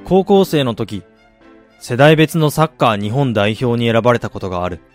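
A man acting in a radio drama as a driven businessman and husband, telling his life story in a measured, reflective way. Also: medium pitch, lively intonation, natural voice. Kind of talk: radio drama monologue, literary narration. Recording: music bed behind the voice.

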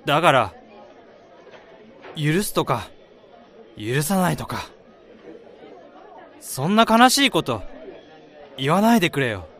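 An actor in a Japanese radio drama playing a kind-hearted, forgiving young best friend, speaking in an earnest, expressive way. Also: male voice, fairly high pitch, slow pace, long pauses, some chatter behind, full character voice. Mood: heartfelt, pleading.

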